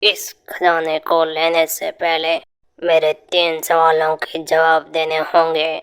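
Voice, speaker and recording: A man's voice: on the high side, completely flat delivery, slow, a bit bright, smooth. A man playing the stern ghost of a bandit guarding treasure in a cartoon fairy tale, speaking solemnly and with menace. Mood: stern, threatening.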